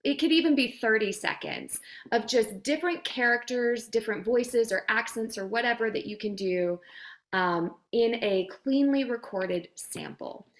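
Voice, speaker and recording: A woman's voice: fairly high pitch, lively intonation, normal speed. A woman speaking as a guest coach in an online Q&A, giving encouraging advice in an informal, validating, conversational way. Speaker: guest coach, voice demo producer.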